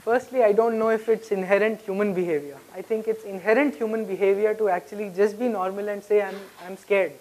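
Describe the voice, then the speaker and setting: A man's voice: sits high, fairly even intonation, brisk, hardly any pauses, darkish tone, rough recording. A middle-aged man with an Indian accent speaking candidly and conversationally as he takes audience questions at a conference. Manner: candid, conversational, frequent "right?" tags. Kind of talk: informal audience question-and-answer. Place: conference hall stage, indoors.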